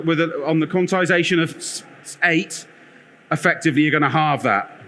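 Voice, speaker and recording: Loud, bright male presenter, energetic and self-deprecating, live conference talk. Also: fairly high pitch, a little hiss or hum. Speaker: conference speaker, product lead. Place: conference theatre.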